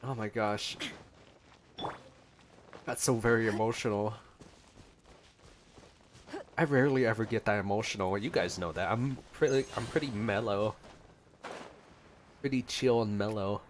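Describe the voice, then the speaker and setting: A man hosting a gaming playthrough, reacting in casual, emotive commentary with gushing exclamations and mellow asides. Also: on the low side, a little hiss or hum. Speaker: gaming video host.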